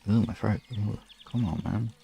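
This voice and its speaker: A man with a low voice and a lot of creak chats casually on a livestream. He rambles informally as he addresses his viewers. Some faint noise sits behind him. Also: unhurried, warm tone. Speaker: livestream host.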